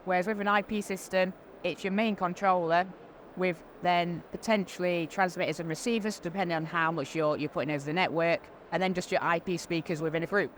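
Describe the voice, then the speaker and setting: A woman's voice: on the low side, fairly even intonation, fairly quick. A woman giving a conversational product explanation as an interviewed specialist, informal and practical, on a trade show floor. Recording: faint background noise. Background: faint ambient noise.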